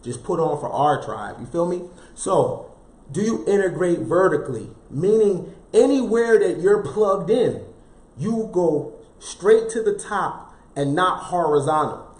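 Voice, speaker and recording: A man's voice: high voice, lively intonation, a little slow, darkish tone. A man delivering a motivational self-help talk with a slightly raised voice. He preaches through rhetorical questions and repeated key phrases, with casual slang asides. The sound is roomy and echoey. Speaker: motivational speaker.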